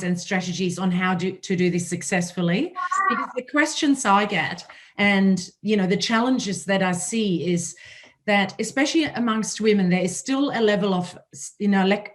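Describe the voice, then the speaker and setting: A woman with a German accent and a raspy voice, hosting a panel talk and telling her own story in a conversational way. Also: medium pitch. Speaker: host.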